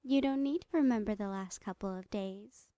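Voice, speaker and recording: A woman playing a smiling psychotic doctor in a horror ASMR roleplay, breathy and often whispering, soothing and falsely reassuring with drawn-out words. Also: medium pitch, dark tone, no echo, clean studio sound, natural voice. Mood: falsely sweet. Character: smiling psychotic woman doctor, sweetly menacing mad scientist. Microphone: close microphone, dry.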